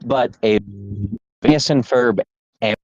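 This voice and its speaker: A man's voice: medium pitch, measured pace, no room sound, lo-fi sound. A man hosting a casual podcast, rambling and repeating himself with lots of fillers. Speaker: podcast host.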